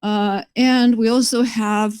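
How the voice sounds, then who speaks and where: A woman's voice: fairly high pitch, steady tone, takes it slowly, darkish tone, clear, resonant tone, no echo, clean studio sound. A middle-aged woman from Lithuania, giving an earnest academic conference talk with many fillers and restarts. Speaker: conference presenter. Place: conference hall.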